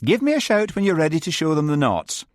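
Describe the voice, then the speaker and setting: A man's voice: on the high side, wildly swooping pitch, quick pace, no echo, studio quality, pitched above the performer's own voice. A man voicing an affectionate, encouraging wife. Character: fond, reassuring wife.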